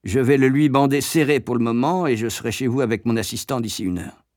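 A calm family doctor voiced by a man in a storybook, speaking decisively. The manner is measured and reassuring, and the sound is dry. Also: mid-range voice, dry recording, close to an everyday voice. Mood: concerned, decisive.

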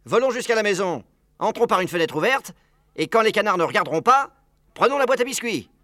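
A man's voice: high-pitched, lively intonation, fairly quick, pushed and bright, no echo, clean studio sound. A man voicing a bossy, eager father bird in a children's storybook, commanding and emphatic. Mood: indignant, decisive.

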